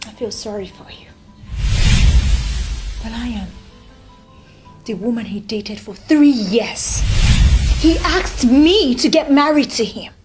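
A woman's voice: on the high side, lively intonation, takes it slowly, long pauses, loud music behind the voice. An actress playing a jilted young woman, bitter and accusing, who turns explosive when crossed.

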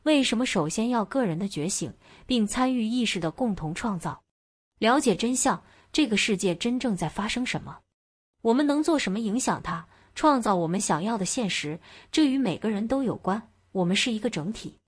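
A woman's voice: mid-range voice, animated, moderate pace, breathy edge, no echo, clean studio sound. A woman reading scripted narration for a documentary.

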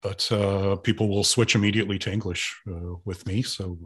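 Serbian-born man, 50s, low husky voice, halting reflective interviewee on a video call. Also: fairly even intonation, fairly bright tone. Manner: hesitant, many restarts and repeated words. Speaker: guest artist. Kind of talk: thoughtful conversational interview. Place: home room with sofa.

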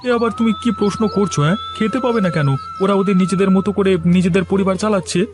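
High-pitched, decent village butcher voiced by a man. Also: steady tone, fast talker, lightly acted. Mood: reproving. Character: kind-hearted working butcher, decent and sympathetic. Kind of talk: Bengali cartoon story voice acting. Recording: music behind the voice.

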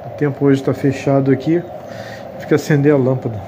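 A man's voice: mid-range voice, little pitch movement, fairly quick. A Brazilian man giving a casual hands-on vlog demonstration as an amateur radio host, recorded at home on a handheld device. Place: tiled enclosed home terrace room. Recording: loud radio noise and Morse tones. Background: radio static, Morse sidetone beeps.

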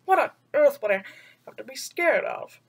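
A woman voicing a reserved, skeptical male panther from a storybook in a measured, protesting manner. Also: high voice, animated, a little hiss or hum.

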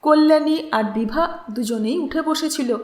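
A woman storyteller reading literary fiction aloud in an expressive, dramatic way. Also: high-pitched, a little slow, roomy sound. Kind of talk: expressive literary audiobook narration with acted dialogue.

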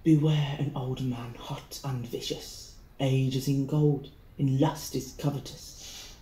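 Man acting a slow, echoing, bitter avenger. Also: medium pitch, flat delivery, mellow, a little hiss or hum, natural voice. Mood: bitter, vengeful. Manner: theatrical, deliberate, verse declaiming. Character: bitter, gleeful avenger brooding over a poisoned lover's skull. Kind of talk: Jacobean stage soliloquy in audio drama. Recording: echoing, reverberant room.

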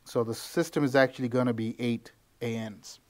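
A man's voice: medium pitch, steady tone, moderate pace, darkish tone. A man in his thirties, a mechanic, giving a loose, conversational how-to walkthrough in a small office, filmed on a handheld camera close to his face, with lots of ums and restarts. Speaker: tuning shop mechanic. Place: small office with bare walls, indoors.

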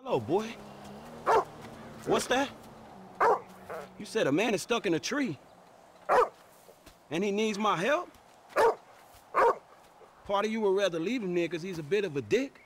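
High-pitched, streetwise American guy. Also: sing-song, unhurried, pauses often, exaggerated voice. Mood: puzzled. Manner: casual, slangy. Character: streetwise, bemused young American man talking to a dog. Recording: very loud background noise.

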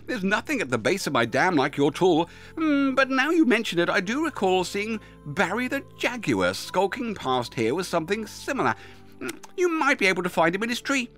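A genial storybook beaver voiced by a man, somewhat raised in pitch and lilting. He hums and dithers politely, eager to help, over music. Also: big pitch swings, moderate pace, fairly bright tone. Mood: apologetic, helpful. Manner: humming and hesitant, old-fashioned politeness. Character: helpful, genial, dithering male beaver chewing wood. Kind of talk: children's storybook character voice.

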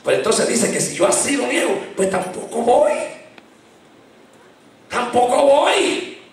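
A middle-aged man preaching a fervent sermon into a handheld mic in a small church, shouting at the peaks and dropping low in between. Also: lively intonation, a little slow, far from the mic, a little hiss or hum. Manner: impassioned preaching, shouting at climaxes. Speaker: pastor. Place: small church sanctuary, indoors. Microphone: handheld mic, filmed from far. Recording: very echoey church from afar.

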